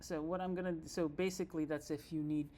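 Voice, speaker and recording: A man presenting an informal software tutorial, explaining step by step in a halting, think-aloud way. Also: fairly high pitch, steady tone, noisy background. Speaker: presenter giving a software demo. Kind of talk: informal screen-reader tutorial narration.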